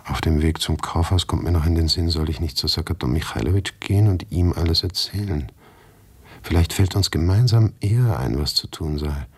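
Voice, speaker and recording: A male actor playing a brooding writer who narrates his own absurd misfortune in a radio play, husky and deliberate. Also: extremely low pitch, heavily acted. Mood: brooding, matter-of-fact. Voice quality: gravelly, husky.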